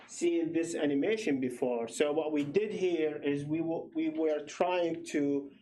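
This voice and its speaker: A man's voice: fairly high pitch, dark tone, low-quality recording. A man from Iraq, a PhD student in his thirties, gives a hesitant, explanatory research presentation at a public meeting. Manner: slow, hesitant, explanatory, filler-heavy.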